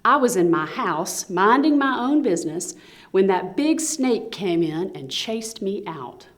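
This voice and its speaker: A woman's voice: mid-range voice, expressive, unhurried, lightly acted. A woman voicing a timid little female rabbit in a storybook, meekly excusing herself. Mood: nervous excuse.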